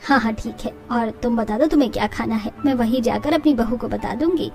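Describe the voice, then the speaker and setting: A woman's voice: a little high, a little fast, over music, pitched below the performer's own voice, lightly acted. A woman voicing a proud, obliging middle-aged mother-in-law who likes to boast, in a family story.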